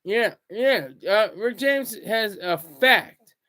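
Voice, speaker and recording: A man hosting a casual solo commentary vlog, rambling and restarting his words, swinging between loud bursts and low asides. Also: lively intonation, slow. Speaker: commentary channel host.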